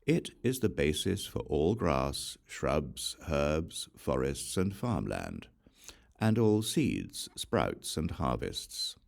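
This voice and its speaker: A man reading a scripture aloud as an audiobook narrator, in a measured, solemn manner, phrase by phrase. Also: a bass voice, big pitch swings, vocal fry. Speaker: audiobook reader.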